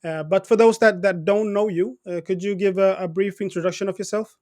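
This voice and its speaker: Male interview host, notably high-pitched voice, halting delivery with repeated words. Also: steady tone, darkish tone. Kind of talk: interview with a guest.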